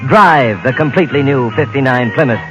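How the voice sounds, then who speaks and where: A man's voice: mid-range voice, brisk, over music, rough recording. A man with an American accent reading a 1950s radio commercial as announcer, punchy and emphatic.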